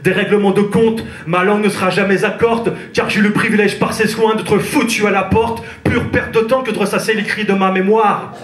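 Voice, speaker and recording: Guy from france doing slam poetry live on stage, high-ish voice, rhythmic and intense, echoey theatre. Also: fairly even intonation, moderate pace, hardly any pauses, fairly bright tone. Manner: rhythmic, intense spoken-word delivery. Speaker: slam poet. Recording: roomy theatre echo, live.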